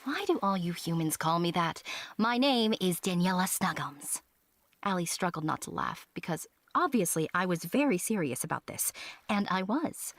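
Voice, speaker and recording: A woman's voice: lowish voice, normal speed. A woman playing a small, proud winged secret agent who narrates an audio drama expressively and earnestly. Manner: expressive, self-important storytelling. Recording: music behind the voice.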